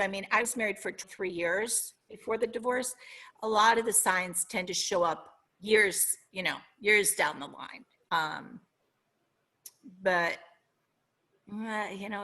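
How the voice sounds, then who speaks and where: A middle-aged woman with a Minnesotan accent, speaking as an interview guest in an earnest, reflective way, often pausing to search for words. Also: lowish voice, creaky, sharp tone. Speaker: guest author. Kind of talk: podcast interview.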